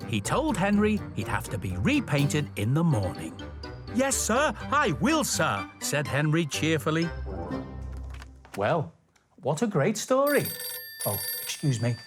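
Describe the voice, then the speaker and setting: Very animated male children's storytime presenter. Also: fairly high pitch, a little slow, music in the background. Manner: expressive, clearly enunciated for young children.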